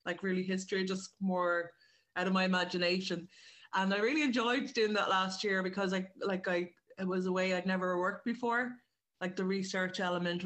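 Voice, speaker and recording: A woman's voice: medium pitch, fairly bright tone. An Irish woman chatting as an interview guest, explaining her work in a wandering, self-correcting way. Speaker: writer and director, interview guest. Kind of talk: casual interview chat.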